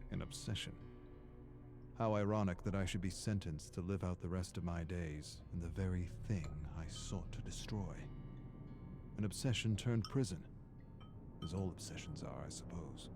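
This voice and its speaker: An actor playing a weary, brooding imprisoned scholar in a video game, narrating a journal in a measured, formal, ruminative way. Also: male voice, deep voice. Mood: wry, brooding. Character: imprisoned male scholar, weary, brooding observer of a dark realm. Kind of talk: dramatic scripted journal narration.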